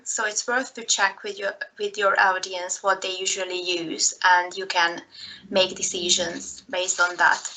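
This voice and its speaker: A woman's voice: medium pitch, pushed and bright, a little hiss or hum, rough recording. A woman from Hungary in her thirties with a Hungarian accent, giving a recorded slideshow talk in a careful manner with frequent fillers. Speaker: presenter.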